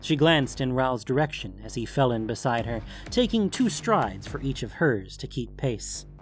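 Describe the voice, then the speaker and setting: A man's voice: mid-range voice, sing-song, normal speed. A man reading scripted fantasy audiobook narration, with music playing behind him.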